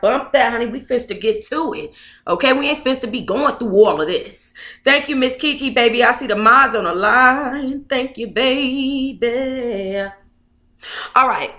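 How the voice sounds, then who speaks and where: A woman's voice: on the high side, fairly bright tone. Echoey American woman hosting a book club. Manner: sassy, colloquial, addresses listeners as honey and baby. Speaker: book club livestream host. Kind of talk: casual livestream chat and reading a memoir aloud. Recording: echoey, roomy sound.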